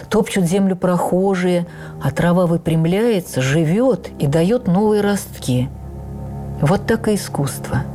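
A woman playing an older actress who recalls her life in a heartfelt, measured radio memoir reading with deliberate pauses. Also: on the low side. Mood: reflective. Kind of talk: dramatic first-person memoir reading.

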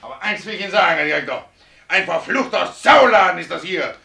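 A man's voice: high-pitched, sing-song, fast talker, vocal fry, pushed and bright, heavily acted. A man playing a stubborn, indignant working tram driver in a German radio play, blunt, emphatic and colloquial. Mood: furious. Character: stubborn, indignant dismissed male tram driver fighting for his job.

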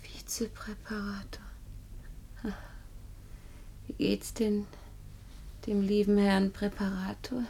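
A woman's voice: medium pitch, monotone, takes it slowly, creaky, pauses often, dark tone, a little hiss or hum. An actress playing a weary, despairing young woman in a radio drama, half whispering with bitterness. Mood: bitter, weary.

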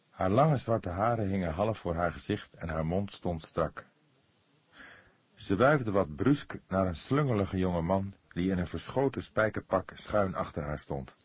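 Male storyteller for crime fiction: low, husky voice, close and dry sound. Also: dry recording. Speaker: audiobook narrator. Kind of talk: scripted narration of a detective novel.

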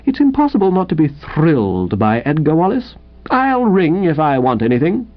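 A man voicing a smug, languid young upper-class English gentleman with a condescending, affected drawl, in a comic storybook reading. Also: a little high, huge pitch swings, normal speed, muffled recording. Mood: airily dismissive.

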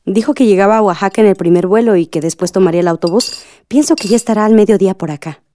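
An actress playing a caring, calm mother of a grown daughter in a radio drama. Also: mid-range voice, fast talker, natural voice. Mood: affectionate.

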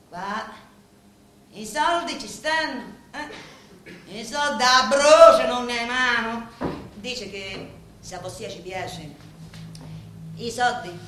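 A woman's voice: a little high, bright, forceful tone, full character voice. An actress playing a grieving, composed Sicilian woman pleading before a mob boss in a theatrical radio drama, with solemn, emphatic phrasing. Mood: confiding. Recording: roomy echo with faint background noise.

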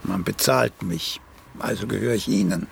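An actor in a German radio play voicing a vain, needling elderly playwright who speaks in a pompous, deliberate way. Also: male voice, fairly low pitch, vocal fry, slight background noise. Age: older. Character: vain, cantankerous elderly once-celebrated playwright.

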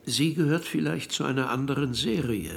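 An actor playing a thoughtful man speculating among friends in a radio play, with a gravelly, measured voice. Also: medium pitch, faint music behind, natural voice. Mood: musing.

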